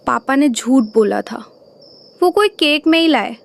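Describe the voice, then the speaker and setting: Lady doing a small cartoon bird kid, boy, high slow voice. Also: expressive, vocal fry, a bit bright, full character voice. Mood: whiny. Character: innocent little boy bird. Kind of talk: Hindi cartoon voice acting.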